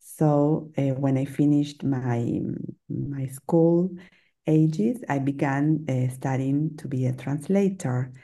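A woman's voice: rumbling low, slow, mellow. A middle-aged woman from Argentina with an Argentine Spanish accent, a native Spanish speaker, talking as a podcast guest in a hesitant, chatty way with frequent fillers and little laughs. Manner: hesitant, many fillers and restarts, laughs often. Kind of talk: casual interview chat.

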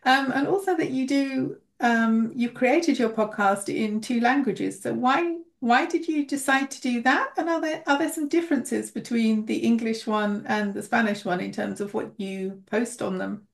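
A woman hosting an English-learning podcast, welcoming her guest and asking clear, friendly questions. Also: on the high side, normal speed, few pauses. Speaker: podcast host, English teacher.

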